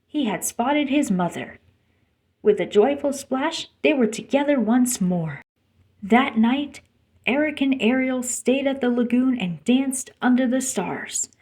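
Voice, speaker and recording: A woman with a fairly high voice narrates a children's storybook. She reads a scripted tale aloud for young listeners. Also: animated, measured pace, darkish tone. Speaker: storybook reader.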